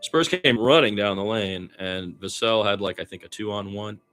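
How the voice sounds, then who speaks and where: A man's voice: fairly low pitch, normal speed, a bit bright, soft background music. A man doing amateur radio-style basketball play-by-play, conversational, with his own opinions mixed in.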